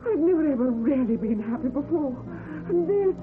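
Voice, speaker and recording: An actress playing a lonely, fragile office worker, childlike and devoted, earnest and emotional, in a radio suspense drama. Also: female voice, high voice, animated, normal speed, music in the background. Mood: rapturous. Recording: thin, narrow old radio recording.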